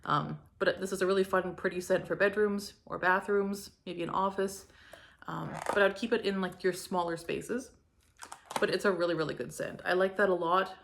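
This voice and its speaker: A young woman from Canada chatting casually through a home fragrance review, gushing and filling her talk with likes and ums, her throat a little hoarse from a cold. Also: on the low side, fairly even intonation, normal speed, slight background noise. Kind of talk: casual product review vlog.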